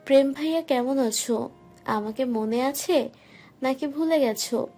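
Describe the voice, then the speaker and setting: A woman voicing a sharp-tongued young wife who scolds and teases in an audio story. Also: high voice, unhurried. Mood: sassy. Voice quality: sassy, scolding. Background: soft music.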